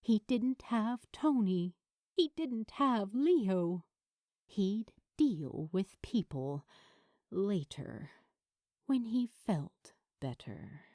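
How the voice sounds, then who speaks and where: A woman reading scripted fiction aloud as a narrator, with an expressive, dramatic delivery. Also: medium pitch, very slow, dry recording, studio quality.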